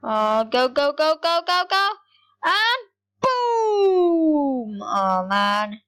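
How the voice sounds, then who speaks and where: A woman giving rambling, excitable commentary over her gameplay, talking straight to her viewers. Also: sits high, drawn-out words. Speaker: gaming YouTuber.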